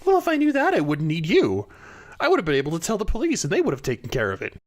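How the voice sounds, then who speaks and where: A man's voice: on the high side, wildly swooping pitch, fast talker. A man playing a flustered, earnest inventor with a hesitant, stammering manner in a comic detective audio drama. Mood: puzzled, exasperated. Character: flustered, earnest male inventor seeking help.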